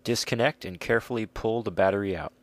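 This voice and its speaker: A man's voice: on the low side, quick pace, dry recording. A man reads scripted step-by-step instructions as the narrator of a repair tutorial.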